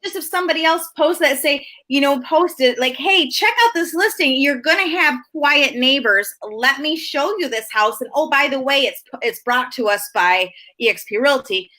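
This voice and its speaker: Bright, high-voiced chatty woman co-host. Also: expressive, normal speed, few pauses. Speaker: co-host, realtor. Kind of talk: casual conversational commentary.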